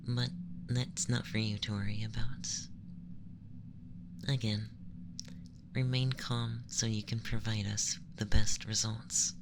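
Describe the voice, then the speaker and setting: A man playing a haughty, clinical femboy alien in an ASMR roleplay, speaking softly and often in a breathy whisper with formal, condescending, measured delivery. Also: fairly low pitch, noisy background.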